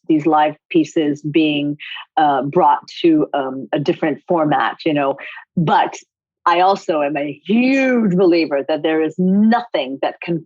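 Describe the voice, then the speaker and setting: A middle-aged woman with a lowish voice tells her story in a relaxed interview, lively and expressive, breaking into laughter often. The audio is dry and slightly muffled, like a remote call. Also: no echo. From United States, Rhode Island. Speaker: guest choreographer. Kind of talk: casual interview conversation.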